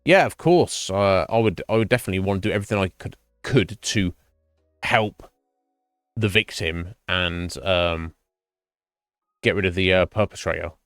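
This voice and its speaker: A man streaming live and chatting with viewers, thinking his answers through in a halting, thoughtful way. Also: sits low, fairly bright tone, no echo, studio quality, soft background music. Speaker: Twitch streamer.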